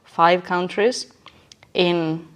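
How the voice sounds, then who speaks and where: A woman's voice: fairly low pitch, steady tone, drawn-out words, clean tone. A woman reflecting on personal experience in casual podcast conversation, emphatic and often checking in with the listener. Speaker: podcast guest.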